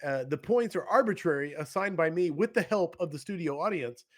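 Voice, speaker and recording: Podcast host, male, slightly high-pitched, enthusiastic and jokey. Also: expressive, brisk. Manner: enthusiastic, laughing, playful. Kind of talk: casual interview chat.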